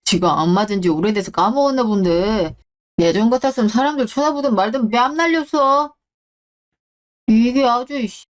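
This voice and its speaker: A woman's voice: a little high, moderate pace, close to an everyday voice. A woman voicing a smug, shameless middle-aged ex-husband in a radio drama, blustering and talking down to people. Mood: indignant, blustering. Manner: blustering, condescending, coarse. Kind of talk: Korean radio-drama story acting.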